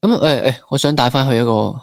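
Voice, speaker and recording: A young man with a mid-pitched voice and a Hong Kong Cantonese accent. He hosts conversationally, putting questions to a guest in a close, dry recording. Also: fast, vocal fry, dry recording. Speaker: podcast interviewer. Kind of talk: conversational interviewing.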